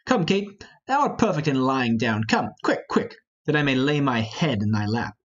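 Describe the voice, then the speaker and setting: A man's voice: mid-range voice, big pitch swings, moderate pace, dull audio. A man playing a scornful, impatient young nobleman in an amateur reading of Shakespeare. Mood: teasing. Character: hot-headed impatient young nobleman, mocking and restless.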